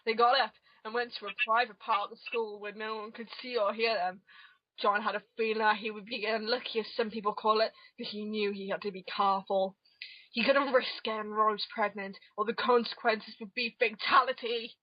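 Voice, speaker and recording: A woman's voice: medium pitch, fairly even intonation, a little fast, no room sound. A woman narrating an amateur dramatic reading of comic fan fiction, on a muffled home recording.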